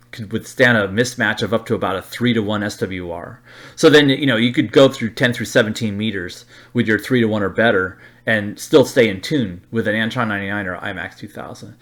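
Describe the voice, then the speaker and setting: A middle-aged man with an American accent explains slides informally as a hobbyist, halting and repeating phrases for emphasis. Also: mid-range voice, a bit bright. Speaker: radio hobbyist presenting an antenna review.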